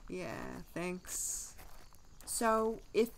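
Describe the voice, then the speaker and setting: A woman playing a nervous, soft-hearted young man in an audio drama, hesitant and flustered with sighs and stammers. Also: mid-range voice, drawn-out words, slight background noise, rough recording.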